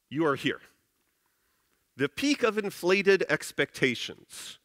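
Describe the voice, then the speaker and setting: A man's voice: a little high, bright, forceful tone, no room sound. Casual middle-aged man giving a keynote. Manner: casual, conversational, frequent "uh" fillers. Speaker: conference keynote speaker. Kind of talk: conference keynote talk. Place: large conference stage. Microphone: headset mic.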